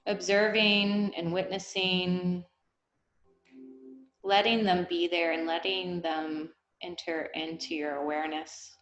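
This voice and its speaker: A woman guiding a meditation, speaking in an unhurried, gently instructive way with long pauses. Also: on the low side, flat delivery, lo-fi sound, faint music behind. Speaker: meditation guide. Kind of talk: guided meditation, body scan. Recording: echoey room.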